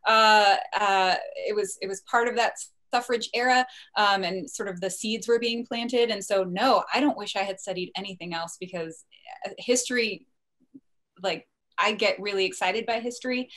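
A woman's voice: medium pitch, fairly bright tone. A young woman answering as a panelist over a video call, enthusiastic with a few fillers. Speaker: panelist, state senator.

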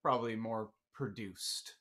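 A man's voice: lowish voice, measured pace, crowd noise. A man co-hosting a podcast with casual, joking banter. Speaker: podcast co-host. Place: small home room with couch, indoors.

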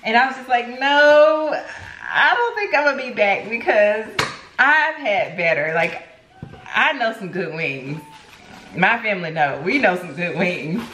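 A young American woman chatting casually to camera, telling stories in a lively, rambling way full of asides. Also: lowish voice. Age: young adult. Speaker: lifestyle vlogger. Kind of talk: casual chat vlog. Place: home kitchen, indoors. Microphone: camera on the counter, about arm's length. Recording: echoey room, some background noise.

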